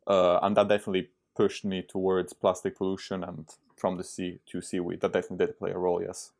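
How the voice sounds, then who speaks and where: A young man answers interview questions in a lowish voice. He talks casually, pausing often on fillers and restarting his sentences as he thinks. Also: monotone, vocal fry, a little mellow. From Italy. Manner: hesitant, many fillers and restarts. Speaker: startup founder, podcast guest.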